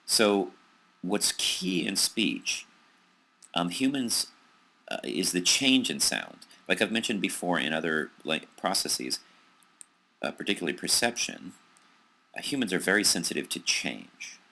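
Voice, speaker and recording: A man with an American accent giving a recorded psychology lecture over slides, pausing often with ums and restarts. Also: deep voice, very animated, creaky, bright, forceful tone. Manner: hesitant, many ums and restarts.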